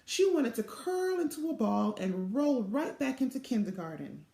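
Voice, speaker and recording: A middle-aged woman reading a children's storybook aloud in an expressive, deliberate way. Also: on the high side, vocal fry. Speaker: literacy program co-chair reading aloud. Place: small indoor office or home room. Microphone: device mic at arm's length. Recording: echoey room sound.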